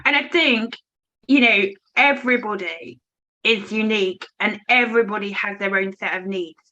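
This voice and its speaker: A woman's voice: mid-range voice, normal speed, pushed and bright. A woman moderating a panel discussion, putting questions to guests with some restarts. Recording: dull lo-fi audio.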